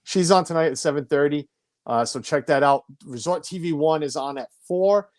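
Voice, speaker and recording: A man's voice: a little high, moderate pace, dry recording, studio quality. A man in his forties hosting a casual live stream from home, chatty, stumbling over names and reading viewer comments aloud. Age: 40s. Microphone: home streaming setup.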